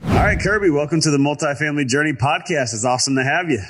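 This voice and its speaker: A man's voice: a little high, fast, a bit bright. A man hosting a real estate podcast in casual chat, sounding nasal from sinus trouble, upbeat and chatty. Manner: upbeat, chatty, some tongue slips. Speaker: podcast host.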